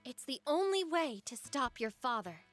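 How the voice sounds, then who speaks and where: A woman's voice: high-pitched, very animated, moderate pace, fairly bright tone, soft background music. A woman voicing a brave, idealistic young heroine in a video game, earnest and determined. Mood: earnest, resolute. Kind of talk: video game cutscene voice acting.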